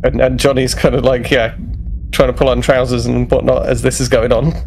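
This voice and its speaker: A man's voice: medium pitch, level tone, fairly quick, gravelly, darkish tone, slight background noise, rough recording. A man chatting casually as a player in a tabletop roleplaying game.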